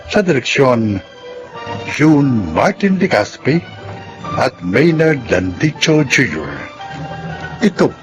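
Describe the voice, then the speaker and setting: A man's voice: medium pitch, very animated, takes it slowly, long pauses. A man giving formal, scripted dramatic narration as a radio drama announcer. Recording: voice over clear music.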